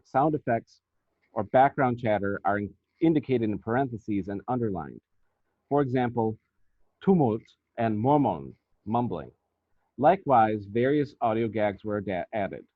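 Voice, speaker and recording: A man presenting academic research as a scripted lecture, reading formally and deliberately. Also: mid-range voice, dry recording. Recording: thin, phone-like band-limited audio.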